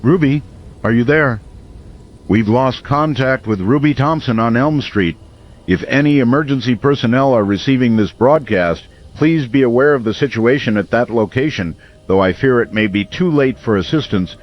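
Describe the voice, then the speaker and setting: An actor playing a grave, steadfast male late-night radio host, broadcasting in a solemn, measured, portentous manner in an audio drama. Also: medium pitch, lo-fi sound, lightly acted. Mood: alarmed, grave.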